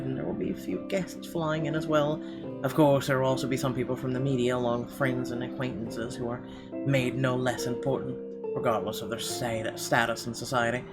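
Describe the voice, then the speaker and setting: A man hosting a gaming video, reading visual novel narration aloud with conversational asides. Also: medium pitch. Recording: game music under the voice.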